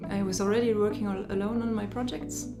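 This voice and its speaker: A woman from Germany, a solo game developer, speaking casually and a little haltingly. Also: medium pitch, steady tone, loud music behind the voice. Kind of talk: casual interview answers.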